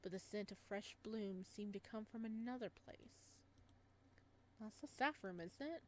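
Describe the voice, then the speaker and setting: A woman reading a visual novel aloud, calm in the narration and quick to break into startled, animated reactions, with faint music behind her. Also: mid-range voice, a little hiss or hum.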